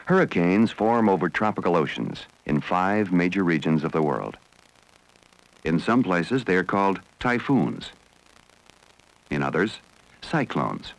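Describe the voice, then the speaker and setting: Very deep American male documentary narrator. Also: poor audio. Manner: measured, formal, serious. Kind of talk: scripted educational film narration.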